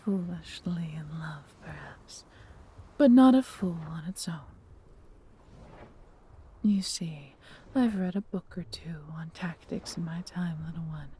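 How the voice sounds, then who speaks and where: A woman playing a proud, tender female dragon in a fantasy audio roleplay, with a breathy, theatrical, measured delivery. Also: lowish voice, mellow, heavily acted. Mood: proud, teasing. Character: proud, doting female dragon, adventurous and protective.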